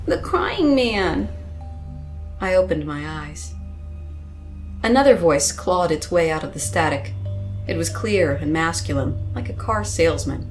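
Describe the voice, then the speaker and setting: A woman's voice: low-pitched, normal speed, soft background music, low-quality recording. A woman reading a first-person story as a scripted, dramatic narration.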